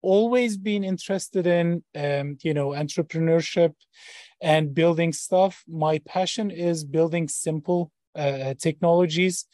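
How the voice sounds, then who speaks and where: A man's voice: fairly high pitch, unhurried, mellow, no room sound, studio quality. A man in his forties from Turkey, a startup founder and professor, answering interview questions in a hesitant way with many fillers and restarts. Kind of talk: interview guest.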